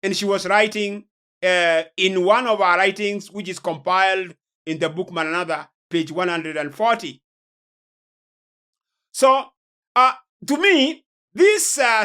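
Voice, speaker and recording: Slow-paced Kenyan male preacher. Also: high-pitched, bright, forceful tone. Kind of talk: sermon-like religious lecture.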